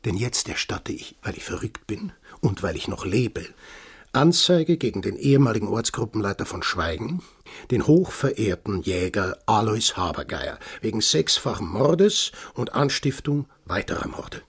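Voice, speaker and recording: An actor playing a bitter, stubborn accuser, insistent and sardonic, in a radio drama. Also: male voice, fairly low pitch, very animated, normal speed, husky, heavily acted. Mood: sardonic, accusing. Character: bitter, stubborn man accusing a village of murder.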